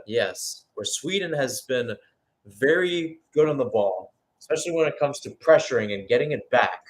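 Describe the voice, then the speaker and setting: A man with a medium-pitched voice commentates live on a football match in radio play-by-play style. He moves between calling the action and loose, conversational analysis, sometimes hesitating or correcting himself as he goes. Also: low-quality recording.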